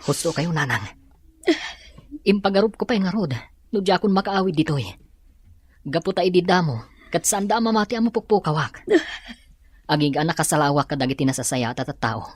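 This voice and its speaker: Husky, dry-recorded earnest young man actor. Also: fairly high pitch, a little fast, pauses often, no room sound, natural voice. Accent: Ilocano. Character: earnest, long-suffering young man, dutiful son. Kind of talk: Ilocano radio-style melodrama acting.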